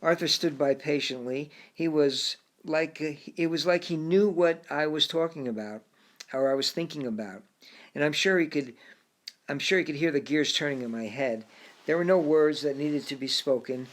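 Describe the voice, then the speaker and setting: A man narrating his own first-person story as an audiobook, reflective in tone and sometimes stumbling over a word and restarting. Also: fairly high pitch. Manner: reflective, with occasional halting restarts.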